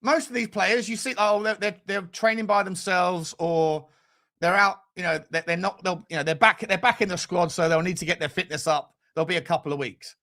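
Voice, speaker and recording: A man's voice: high-pitched, fairly even intonation, brisk, sharp tone. A British man in his forties hosting a casual football livestream, rambling and joking in slangy, sweary chat as he answers comments. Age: 40s. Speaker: host of a football fan livestream.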